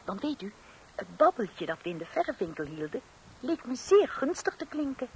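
A woman's voice: a little high, sing-song, moderate pace, a little mellow, poor audio. An actress in a scripted radio comedy playing a long-suffering, sceptical housewife and mother with a wry, theatrical manner. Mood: wry resignation. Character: exasperated, sceptical middle-aged housewife and mother. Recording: hissy old radio play recording.

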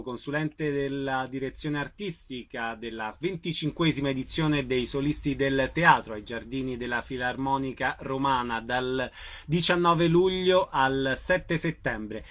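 A man's voice: on the high side, sharp tone. A man hosting a live radio interview.

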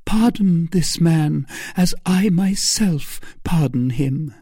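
A narrator voicing a melodramatic woman schemer in an audiobook novel, feigning pious innocence with breathy, imploring pleas. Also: medium pitch, slow, warm tone, no echo, clean studio sound, pitched above the performer's own voice. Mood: angelic meekness.

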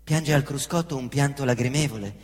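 A middle-aged man performing a theatrical monologue on stage, swinging between hushed mutters and loud excited outbursts while mimicking engine noises. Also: medium pitch, a little fast, in a large hall. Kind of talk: theatrical monologue in Roman dialect.

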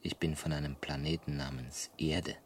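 A man playing an earnest, bewildered ordinary human in a radio drama, speaking in a hesitant, soft way. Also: rumbling low, flat delivery, normal speed, a little hiss or hum. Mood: dreamy, wistful. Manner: hesitant, soft-spoken, wondering. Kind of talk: German radio drama acting.

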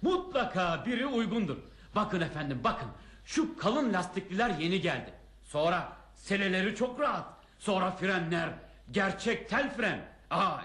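Eager salesman in a radio play, really animated and high, pushy, roomy sound. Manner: pushy, ingratiating, repeats himself. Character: eager, fawning bicycle shop salesman. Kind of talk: radio theatre acting.

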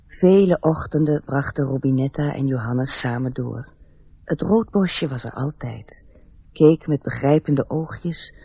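Fairy-tale storyteller, radio drama: reflective, slightly rough-edged voice, measured delivery, close dry sound. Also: female voice, low voice, warm tone, no echo, exaggerated voice. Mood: tender. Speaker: narrator. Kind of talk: scripted radio play narration.

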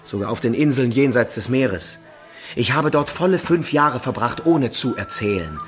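An actor plays a jealous, self-important man devoted to a sacred quest. His voice is medium in pitch, set over soft music in a radio play. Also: expressive, normal speed, close to an everyday voice. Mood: scornful, indignant. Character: proud, jealous, suspicious male relic seeker. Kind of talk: radio drama acting.

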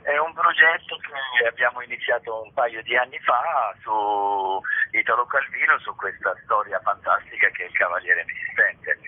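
A man interviewed on radio as an actor, explaining a project haltingly and repeating words as he searches for the next one. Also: on the low side, breathy edge. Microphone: telephone. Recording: tinny phone line on radio.

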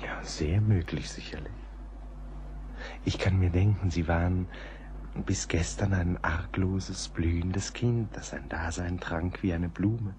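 A grave gentleman recalling events in a radio drama. His voice is low and unhurried, often hushed and deliberate, over faint background noise. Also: fairly even intonation. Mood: gentle, earnest. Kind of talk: German radio play narration.

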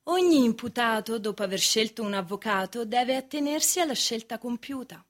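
A woman's voice: fairly high pitch, lively intonation, normal speed. A woman playing a stern, impersonal voice of court rules in a radio drama, formal and declarative. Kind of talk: radio stage drama acting.

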